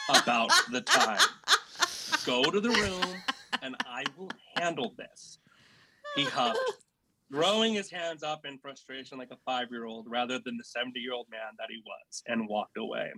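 A man co-hosting a podcast, reading a personal essay aloud and acting out the dialogue in an expressive storytelling manner. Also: mid-range voice, heavy background noise. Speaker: podcast co-host.

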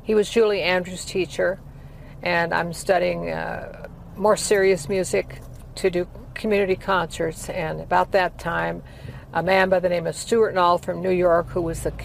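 An elderly woman in her eighties rambles through her memories, full of pauses and "uh"s. She sounds like a retired singer chatting outdoors, with loud passing cars behind her. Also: lowish voice, flat delivery, vocal fry. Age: 80s. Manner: rambling storytelling with many fillers. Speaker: interviewee, former opera singer. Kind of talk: casual life-story interview. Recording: heavy traffic noise behind.

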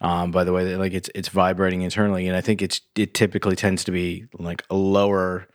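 A man co-hosting a casual podcast, slightly nasal, explaining in a measured, hesitant way. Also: sits low, flat delivery, dry recording, studio quality. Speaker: podcast co-host.

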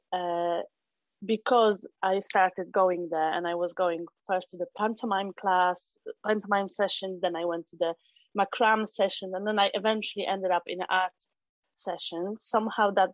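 A woman's voice: lowish voice, no echo, dull audio. A middle-aged woman from Poland with a Polish accent, a guest talking casually in an interview, often restarting and filling with "um". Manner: hesitant, many restarts and fillers. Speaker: guest, founder of an arts venue for kids.

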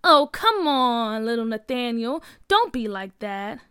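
Boy ringleader female storyteller acting: cocky, slangy, high-pitched, bright, children's story. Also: sing-song, slow pace, smooth, no room sound, studio quality, full character voice. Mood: taunting. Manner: slangy, swaggering. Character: cocky teasing boy ringleader with a hidden heart. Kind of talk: acted children's story dialogue.

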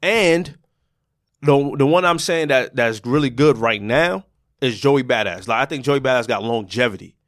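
A man's voice: mid-range voice, expressive, moderate pace, fairly bright tone, no room sound, clean studio sound. A man hosting a casual chat, blunt and opinionated, stumbling and restarting as he talks. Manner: blunt, stammers, restarts often.

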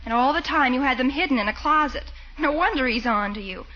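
Sweet teasing young niece, high bright voice, muffled old radio with some hiss. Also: female voice, animated, fast. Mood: teasing, fond. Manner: affectionate, knowing, teasing. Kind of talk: 1940s radio comedy acting. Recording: muffled, noisy 1940s radio recording.

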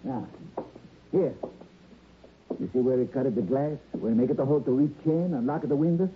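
An actor playing an emotional Italian immigrant violin repairman in an old radio drama, with a strong Italian accent and halting, exclamatory broken English. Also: mid-range voice, close to an everyday voice. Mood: agitated. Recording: muffled, noisy old radio recording.

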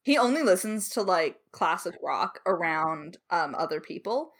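A woman's voice: on the low side, expressive, moderate pace. A woman co-hosting a creator Q&A, chatting casually with playful, mock-serious emphasis. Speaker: podcast creator and co-host.

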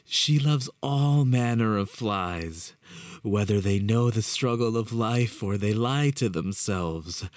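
Man putting on a slow, mocking, unhinged villain. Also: lowish voice, lively intonation, dry recording, pitched above the performer's own voice. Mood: zealous. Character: unhinged sinister man, condescending and zealous. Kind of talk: horror story narration.

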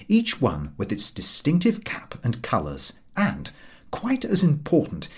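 Man narrating with very animated, swooping intonation. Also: mid-range voice, husky. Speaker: audiobook narrator. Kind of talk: scripted literary narration of a humorous essay.

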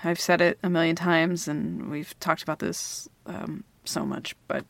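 Woman acting a flustered, creaky-voiced smitten young woman. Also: on the low side, little pitch movement, a little slow, dry recording. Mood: grateful, giddy. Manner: hesitant, stammering, giggly. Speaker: audio roleplay performer. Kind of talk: ASMR girlfriend roleplay, intimate one-sided dialogue.

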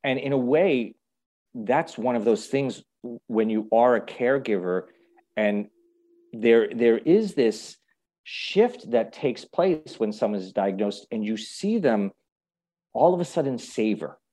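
A man hosting a casual, reflective conversation, speaking thoughtfully and haltingly and often checking in with the listener. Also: mid-range voice, animated, mellow. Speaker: host.

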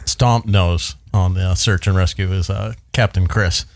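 A man with a low voice who joins a casual podcast chat. He tends to chip in with wry, joking asides. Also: fairly even intonation, measured pace, gravelly.

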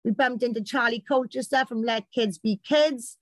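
British woman, campaign organiser; loud, talkative storyteller, high-ish pitch. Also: normal speed, no echo. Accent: British English. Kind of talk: casual chat.

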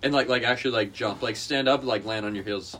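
A man's voice: medium pitch, fast. A young man from New Hampshire, co-hosting and steering a rowdy casual chat, laughing a lot. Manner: giggly, animated, steering the talk. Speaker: podcast co-host. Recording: clear background noise.

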